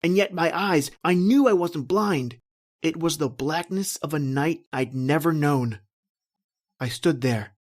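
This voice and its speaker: A man's voice: fairly high pitch, unhurried. A man playing a tormented, lost husband recounting his doom in a brooding, dramatic old-time radio horror style. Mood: frightened, bewildered.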